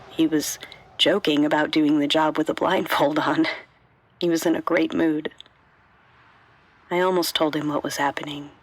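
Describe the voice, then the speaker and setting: A woman's voice: low-pitched, gravelly, breathy edge, full character voice. A woman playing a bitter, guarded bank robber and mother, speaking conversationally in an audio drama monologue. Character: tough female bank robber, bitter mother, wryly sardonic.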